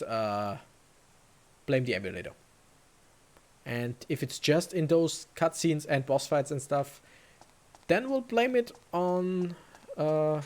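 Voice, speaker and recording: A woman giving casual let's play commentary, thinking aloud in a halting, rambling way. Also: a bass voice, a little mellow. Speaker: let's player commentating a video game.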